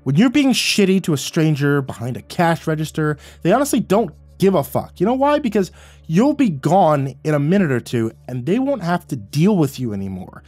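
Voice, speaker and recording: A man's voice: fairly high pitch, big pitch swings, very fast, hardly any pauses, mellow, no echo, soft background music, pitched above the performer's own voice. Defiant younger man, male reader acting him, combative and biting, dry close sound. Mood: furious.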